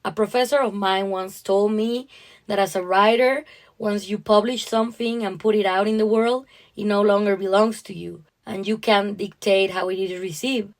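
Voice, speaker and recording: A woman's voice: medium pitch, steady tone, normal speed. A woman co-hosting a writing podcast, discussing a story conversationally in an appreciative, explanatory way.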